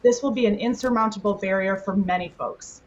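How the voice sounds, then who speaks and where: A woman's voice: medium pitch, brisk. A woman speaking as an advocacy representative in a television news interview. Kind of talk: live news interview answer. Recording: dull sound with faint noise.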